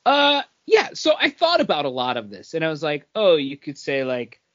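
A man's voice: fairly high pitch, huge pitch swings, normal speed, fairly bright tone, no room sound, dull audio. A man co-hosting a podcast in casual, conversational chat. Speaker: podcast co-host.

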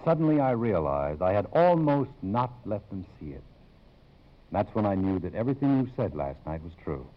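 An actor in 1950s radio drama playing a grave, middle-aged college president and father, halting and formal. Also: fairly low pitch, big pitch swings. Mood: remorseful. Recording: muffled old 1950s radio broadcast.

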